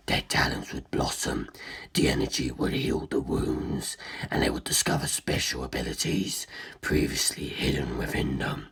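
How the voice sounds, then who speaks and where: A narrator voicing an ancient, arrogant superhuman male villain in an audiobook, lecturing humans with cold contempt in a rasping, breathy growl. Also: extremely low pitch, voice pushed down, exaggerated voice. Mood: cold, inquisitive. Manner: condescending, lecturing, disdainful. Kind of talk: audiobook character dialogue.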